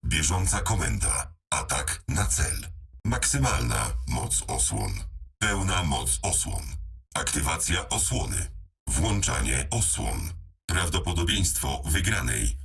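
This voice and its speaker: A man playing a robotic battle mech computer that announces military status in clipped, deliberate phrases through machine-like processing. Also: rumbling low, sing-song, vocal fry, a bit bright, slightly rough, recorded from a distance, full character voice. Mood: coolly commanding. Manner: terse, deliberate machine-like delivery.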